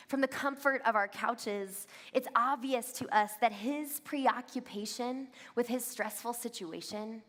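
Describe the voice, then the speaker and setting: A woman's voice: fairly high pitch, a bit bright. A woman in her thirties preaching a conversational sermon, speaking directly and relatably to a congregation. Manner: relatable, addresses listeners directly with reflective questions. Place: large church venue with long reverb. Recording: reverberant hall, amplified sermon.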